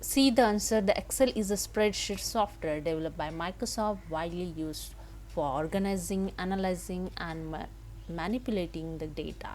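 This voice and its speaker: A woman giving a step-by-step software tutorial in halting, simple non-native English, with noise behind her. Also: on the low side, rough recording. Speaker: tutorial host. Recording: noticeable background noise.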